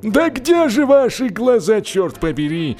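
A man voicing a gruff old lock keeper in an audiobook, blunt, emphatic and easily exasperated. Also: high voice, big pitch swings, a little slow, a little mellow, soft background music, pitched above the performer's own voice.

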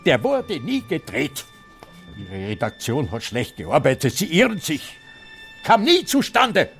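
An actor with an Austrian accent playing a confused, stubborn old film director who speaks haltingly in a radio play. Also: male voice, fairly high pitch, wildly swooping pitch, a bit bright, faint music behind, exaggerated voice. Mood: agitated denial. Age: older. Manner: hesitant, halting, repeats himself. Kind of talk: radio drama acting.

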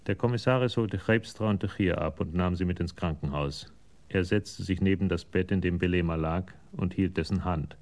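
An actor as the sober, detached storyteller narrating a scripted radio crime drama. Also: male voice, low-pitched, a little mellow.

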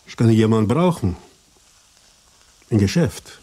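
An actor playing a hearty, easygoing Greek diner owner in a radio drama, rough-voiced, speaking in short blunt phrases. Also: male voice, on the low side, lively intonation, measured pace, warm tone, rough recording. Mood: businesslike. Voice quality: husky, rough.

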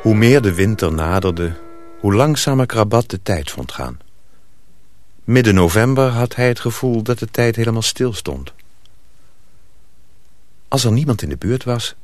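A man's voice: on the low side, dry recording. A man narrating a radio drama as a scripted storyteller.

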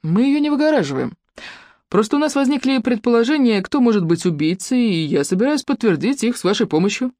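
A reader voicing a polite, ironic young male investigator who speaks softly with exaggerated courtesy. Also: very high-pitched, very animated, brisk, dry recording, studio quality, voice pushed up, exaggerated voice. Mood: courteous.

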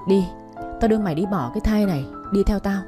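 Một phụ nữ đóng bà mẹ trung niên giận dữ. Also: female voice, fast, mellow, soft background music, natural voice. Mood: furious. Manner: shrill, harsh scolding. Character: furious middle-aged mother scolding daughter.

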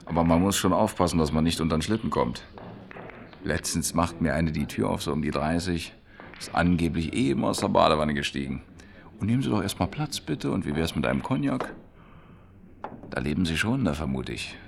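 A man's voice: low-pitched, animated, fairly quick, creaky, slight background noise. A man acting a chatty, down-to-earth repairman in a German radio play, colloquial, jovial and blunt. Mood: jovial, probing. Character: chatty down-to-earth washing-machine repairman, eager billiards player. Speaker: radio drama actor.

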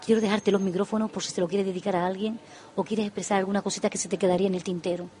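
A woman's voice: mid-range voice, little pitch movement, very fast, warm tone. A woman hosting a live radio interview, effusive and affectionate, often repeating and restarting her phrases. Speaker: radio show host.

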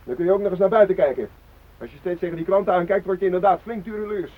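A man's voice: high-pitched, very fast. An actor in a radio drama playing a blunt, unafraid man who scolds his armed captors. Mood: coaxing. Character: gruff, unafraid male hostage scolding armed hijackers. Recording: very dull, band-limited radio recording.

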